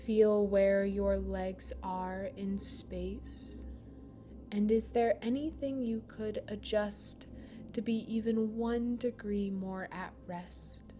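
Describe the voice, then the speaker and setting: A woman leading a guided meditation in a gentle, soothing manner, with pauses between phrases. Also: mid-range voice, steady tone, soft background music. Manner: slow, gentle, soothing delivery with long pauses.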